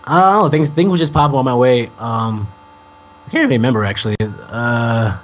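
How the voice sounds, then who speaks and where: A young American man and musician, a guest in an interview, describing his past in a relaxed, rambling way with many ums. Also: mid-range voice, moderate pace, a little hiss or hum. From United States. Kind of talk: casual interview talk.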